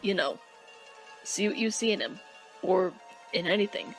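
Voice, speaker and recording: An actor voicing a doubtful, jaded young skeleton sibling in an animated comic dub. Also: medium pitch, normal speed, close to an everyday voice. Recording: played dub with music behind. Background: music.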